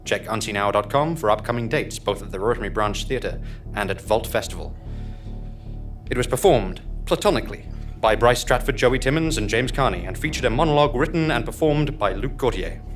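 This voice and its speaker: A man's voice: on the low side, lively intonation, fairly quick, faint music behind. A man reading scripted narration and announcements for a comedy audio drama, tongue-in-cheek and mock-solemn.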